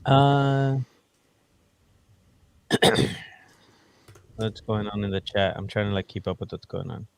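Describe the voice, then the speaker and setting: A man co-hosting a podcast over a call in headphones, chatting playfully and teasing his co-host with quick laughs. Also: on the low side, vocal fry, clean tone, dry recording. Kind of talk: casual podcast chat. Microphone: podcast mic on a remote call, wearing headphones.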